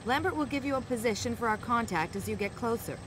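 A woman's voice: fairly high pitch, expressive, quick pace, noisy background, lightly acted. A woman playing an earnest, businesslike field agent briefing a colleague in a video game. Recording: idling car engine behind.

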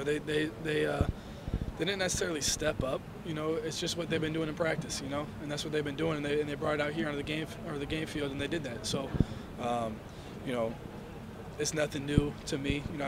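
A young man, a college quarterback, answering reporters' questions after a game, measured and full of "you know"s, into handheld mics indoors. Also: fairly high pitch, fairly even intonation, heavy background noise. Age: young adult. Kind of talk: post-game press interview. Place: indoor hallway before a sponsor backdrop. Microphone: handheld reporter mics and phones held toward him.

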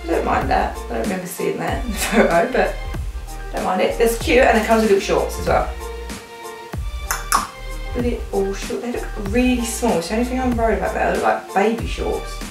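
A woman's voice: fairly high pitch, a little fast. Young British female vlogger, informal and rambling, recorded at a distance in a roomy home with music behind. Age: young adult. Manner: informal, rambling, thinking aloud. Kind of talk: casual chatty haul vlog. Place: living rooms at home. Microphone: camera a few feet away.

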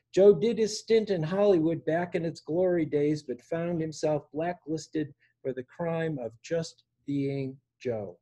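Older man reading poetry, very dark mellow voice. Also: fairly high pitch, low-quality recording. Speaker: poet reading own work. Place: indoor room at home. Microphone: device mic on a video call.

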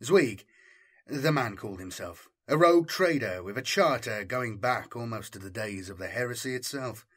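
A man reading a military science fiction story as dramatic scripted narration, in a measured, formal storytelling manner. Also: medium pitch, lively intonation, a bit bright, breathy edge. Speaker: audiobook narrator.

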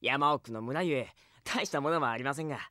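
An actor playing a boisterous, clumsy, good-hearted young village man in a Japanese fantasy audio drama, hearty and excitable. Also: medium pitch, brisk, dry recording, studio quality, close to an everyday voice. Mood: hearty, celebratory.

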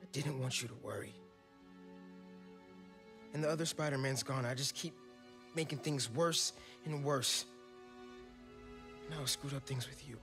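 An actor playing a kind, earnest young male superhero who talks softly. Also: mid-range voice, measured pace, long pauses, music in the background, close to an everyday voice. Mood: guilty. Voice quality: soft-spoken, earnest.

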